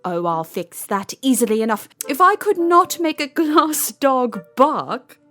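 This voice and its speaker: Woman playing a high, swooping, theatrical crafty wizard. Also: big pitch swings, bright, forceful tone, soft background music, full character voice. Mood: confident. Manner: theatrical, drawn-out, emphatic delivery. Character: accomplished, self-assured, crafty wizard, impatient of interruptions. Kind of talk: audio drama character acting.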